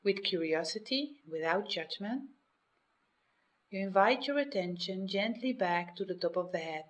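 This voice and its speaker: A woman leading a guided meditation in a measured, instructional way, speaking in short phrases with pauses. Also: mid-range voice, expressive, highs cut off. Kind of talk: guided meditation, scripted narration.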